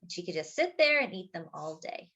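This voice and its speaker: A woman's voice: fairly low pitch, very animated, fairly quick, a bit bright, highs cut off. A woman hosting story time, reading a picture book expressively for young children, stretching key words and adding simple explanatory asides.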